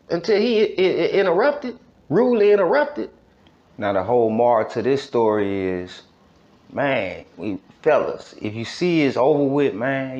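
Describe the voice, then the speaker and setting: High voiced american guy telling his story, slangy n emphatic, keeps repeating himself, kinda muffled lo-fi audio. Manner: emphatic, repetitive, street slang, frequent check-in phrases. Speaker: podcast storyteller. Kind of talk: casual personal storytelling with a message.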